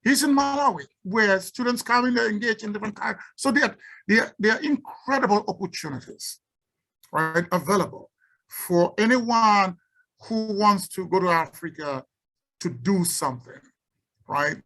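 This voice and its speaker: A woman's voice: mid-range voice, expressive, moderate pace, a bit bright, rough recording. A woman from Cameroon with a Cameroonian accent, giving an unscripted symposium talk and taking questions, often stumbling and repeating words. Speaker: symposium speaker and researcher.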